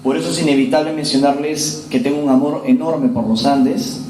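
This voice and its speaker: A man in his forties from Peru giving a public lecture, telling his story to the audience in an earnest, measured way. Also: fairly high pitch, fairly even intonation, mellow, distant mic, slight background noise. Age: 40s. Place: lecture hall. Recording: roomy hall with faint ambience.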